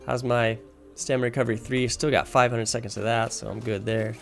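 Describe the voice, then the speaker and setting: A man's voice: mid-range voice, little pitch movement, brisk, gravelly. A man casually talking through a video game as its guide, explaining his choices and thinking aloud as he plays. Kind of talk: casual walkthrough commentary while playing. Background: music.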